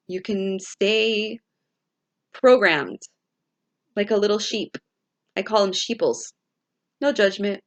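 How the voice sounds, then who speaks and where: Slow-talking woman with a raspy morning voice. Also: fairly high pitch. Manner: slow, musing, repeats and restarts phrases. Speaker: spiritual vlogger and tarot reader. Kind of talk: casual spiritual talk to viewers.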